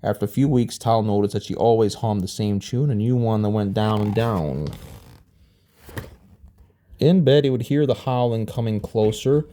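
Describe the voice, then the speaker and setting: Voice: mid-range voice, moderate pace, warm tone, slight background noise. A storybook narrator reading aloud informally, now and then stumbling over a word and rereading it. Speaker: storybook reader.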